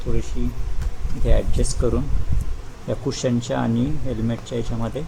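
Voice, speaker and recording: A man's voice: mid-range voice, flat delivery, moderate pace, creaky, noisy background. A man giving an informal, hands-on step-by-step tutorial, addressing viewers as friends and mixing in English words. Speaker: vlogger giving a product installation tutorial. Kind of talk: casual step-by-step hands-on demonstration.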